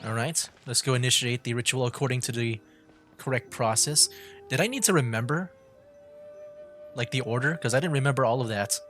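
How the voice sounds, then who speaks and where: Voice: medium pitch, brisk, fairly bright tone, faint music behind. Casual joking game streamer. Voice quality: casual, joking, reads dialogue aloud.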